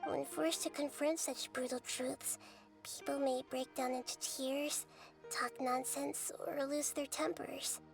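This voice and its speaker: Game role: tiny childlike girl, caring and earnest, extremely high voice, clear music bed. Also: steady tone, a little slow. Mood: thoughtful, troubled. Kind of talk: English video game voice acting. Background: background music.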